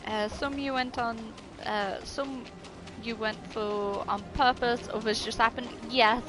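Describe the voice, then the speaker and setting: Woman streaming a game, highish voice, chatty n sweary, music playing behind her. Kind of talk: casual live gameplay chat.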